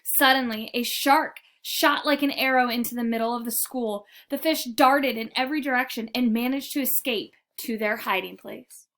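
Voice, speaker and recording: A woman narrating a children's picture book in an expressive, deliberate storytelling manner. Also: on the high side. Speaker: read-aloud storyteller.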